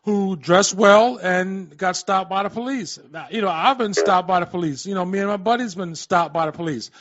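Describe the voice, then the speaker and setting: A man with an American accent and a high-pitched voice hosts casual radio talk. He sounds agreeable and halting, circling back over his phrases, and the audio is dull and lo-fi. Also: a bit bright. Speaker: radio host.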